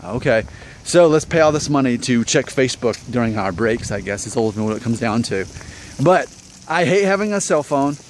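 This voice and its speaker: A man's voice: mid-range voice, animated, fairly quick, slight background noise. A man in his thirties talking casually to viewers in an opinion vlog, conversational and self-deprecating, full of rhetorical questions. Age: 30s. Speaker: vlog host.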